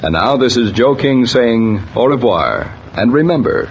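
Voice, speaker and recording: A genial man announcing and telling stories in a measured, folksy 1940s radio style. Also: medium pitch, expressive. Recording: dull, noisy old radio transcription.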